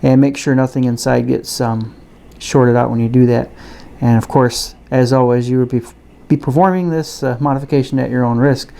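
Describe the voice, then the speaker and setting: A man giving a casual, unscripted product review and demonstration in a halting, hesitant way. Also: mid-range voice, steady tone, creaky, mellow. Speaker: gadget reviewer.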